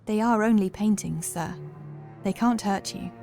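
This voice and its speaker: A gracious housekeeper from a Gothic horror audiobook, acted by a woman, with a medium-pitched voice. She is polite and genteel in her phrasing, yet quietly insistent. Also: unhurried, faint music behind, close to an everyday voice. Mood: calm, amused.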